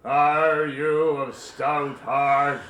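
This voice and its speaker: The solemn master of a secret lodge in a radio drama. He commands in a slow, ceremonial delivery with a hard, bright edge, ringing in a roomy echo over faint noise. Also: on the high side, level tone. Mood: stern, ritual.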